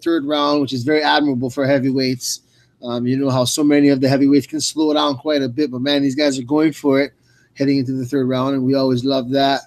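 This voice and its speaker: A man with a medium-pitched voice works as the analyst on a fight broadcast. His commentary is casual and slangy, broken by hesitant pauses as he talks through the action.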